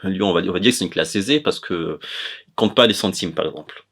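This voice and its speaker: A man's voice: sits low, fast, a bit bright, breathy edge. A man from the Comoros, giving an informal explanatory talk in a hesitant way, often stopping to correct himself. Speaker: presenter of an educational chronicle on language.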